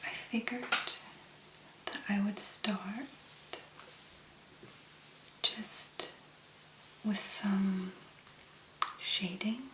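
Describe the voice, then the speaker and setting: A woman speaking softly and breathily in an ASMR make-up tutorial, gently musing in a hesitant, unhurried way. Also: medium pitch, steady tone, echoey room, poor audio. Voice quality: soft, breathy, mostly whispered. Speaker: ASMR content creator, make-up demonstrator.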